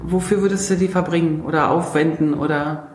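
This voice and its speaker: A woman's voice: low-pitched, fairly even intonation, fairly quick, a little mellow. Woman hosting a chatty podcast interview, teasing and playful, roomy echo, bit of music. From East Germany. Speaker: podcast host. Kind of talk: casual interview chat.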